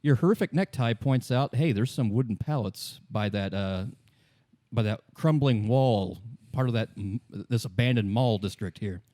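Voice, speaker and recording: A man's voice: fairly low pitch, moderate pace, dark tone, dry recording, studio quality. A man acting as game master, narrating the game in a casual, colloquial, improvised way. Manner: conversational, colloquial.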